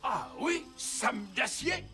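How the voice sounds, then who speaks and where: A man voicing a patient, helpful mechanic vehicle in a French cartoon, expressive and reassuring. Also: very high voice, slow pace, creaky, over loud music, full character voice. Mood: obliging. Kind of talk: French cartoon dub acting.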